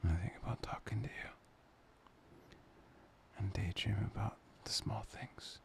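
A man playing a soft, loving boyfriend in an intimate ASMR roleplay, half-whispering breathily and soothingly up close to the mic. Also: fairly low pitch, fairly even intonation, creaky. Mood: tender, confessional. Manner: slow, pausing, soothing. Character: soft, playful, loving boyfriend, tender and reassuring. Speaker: audio roleplay performer. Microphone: close microphone.

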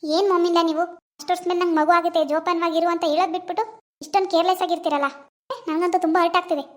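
A woman plays a demanding young pregnant wife in a family drama, pleading and whining in a very high voice. Her lines come in fast, pressing bursts. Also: steady tone. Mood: hurt. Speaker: daughter-in-law.

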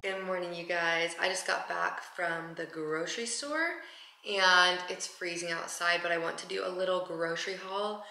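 A woman's voice: fairly low pitch, moderate pace, creaky, music in the background. A woman in her twenties chatting casually to camera in a daily vlog, upbeat and full of "like". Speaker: vlogger. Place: large bright home interior. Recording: roomy echo, faint noise.

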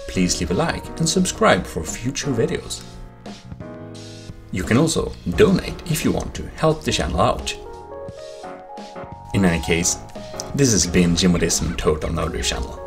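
A man with non-native English phrasing, narrating a computer tutorial in a casual, explanatory way. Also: low voice. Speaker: tech tutorial presenter. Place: voice-over for a screen recording.

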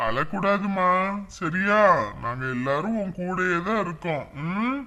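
An actor playing a confident man who reassures and promises to take charge, firm and steady. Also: sits high, a little slow, dull audio. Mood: gentle. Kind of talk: TV serial drama.

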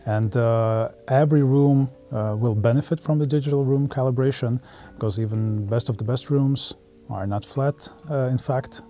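A man, explaining in halting, choppy phrases as an interview guest. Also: mid-range voice, mellow, faint music behind. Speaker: product manager, interview guest. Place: studio room with curtains and audio gear.

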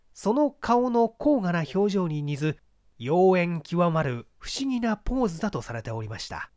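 Lively-pitched man reading mystery fiction. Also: dry recording, studio quality. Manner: measured storytelling with formal literary phrasing. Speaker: literary narrator, stage performer.

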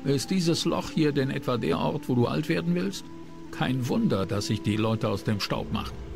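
Town map seller, video game. Polite, weary, crackly voice over music. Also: male voice, medium pitch, lively intonation, moderate pace, creaky. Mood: disillusioned. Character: male town mapmaker, polite but weary salesman. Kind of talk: video game character dialogue. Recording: music under the voice.

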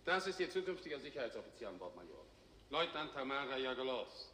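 An actor playing a stern, commanding middle-aged space fleet general, clipped and formal, in 1960s German TV science fiction. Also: male voice, mid-range voice, big pitch swings, quick pace, pushed and bright. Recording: reverberant, noisy 1960s TV soundtrack.

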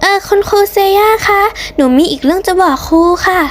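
A woman's voice: extremely high pitch, brisk, a little hiss or hum. A woman voicing an eager, polite young schoolgirl in a cartoon story, deferential in manner. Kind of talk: hobby cartoon story dubbing.

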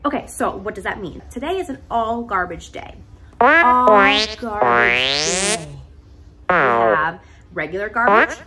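A woman's voice: mid-range voice, sing-song, a little slow, music in the background. A woman in her thirties presenting a playful children's puppet show, talking in an exaggerated, sing-song, encouraging way as if to small children.

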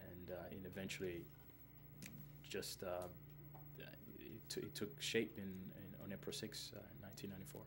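A man in his thirties from Rwanda, a filmmaker answering interview questions in a halting, earnest way with many fillers. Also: deep voice, noisy background. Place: indoors, seated in front of a film poster.

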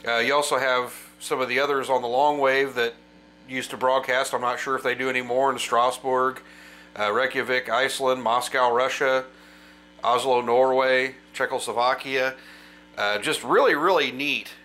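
A man's voice: medium pitch, fairly even intonation, moderate pace, bright, forceful tone. A middle-aged man giving an informal, explanatory show-and-tell as a hobby channel host. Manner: casual, explanatory. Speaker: vintage electronics channel host.

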